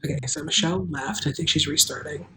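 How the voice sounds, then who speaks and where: A livestream host and audio drama creator chatting casually with the cast and viewers in a wry, deadpan way. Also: mid-range voice, quick pace, slight background noise.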